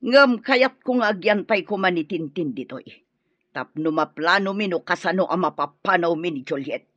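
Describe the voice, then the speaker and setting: A scheming, bossy middle-aged mother in a radio drama, disapproving and full of complaint. Her pitch swings widely from phrase to phrase. The sound is dry and somewhat dull, like lo-fi broadcast audio. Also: lively intonation, moderate pace, fairly bright tone, no echo. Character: scheming, disapproving mother plotting against her son's wife. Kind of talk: Ilocano radio drama acting.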